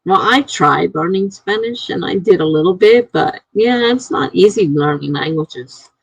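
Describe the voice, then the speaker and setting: A woman's voice: lowish voice, expressive, moderate pace, creaky, slightly rough, no room sound, poor audio. A woman hosting a live stream, reading viewer comments aloud in a chatty way and laughing often.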